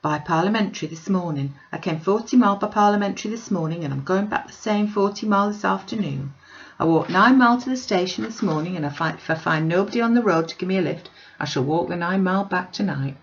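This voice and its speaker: A woman's voice: fairly low pitch, quick pace, close to an everyday voice. A woman reader acts out an old woman from the country in a classic storybook. She is chatty, curious and earnest, with polite rustic phrasing. The recording is a little muffled. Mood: chatty pride.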